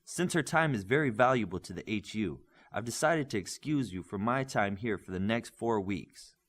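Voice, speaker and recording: A man voicing a brisk, authoritative male combat coach in an audiobook, to the point. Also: lowish voice, animated. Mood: businesslike.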